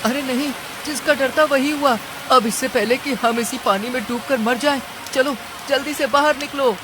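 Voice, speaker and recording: A high-voiced little cartoon mouse husband, played by a man, earnest and polite with a pleading, worried manner. Rain noise runs clearly behind the voice. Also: fairly even intonation, moderate pace. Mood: alarmed, urgent. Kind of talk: Hindi cartoon story dialogue.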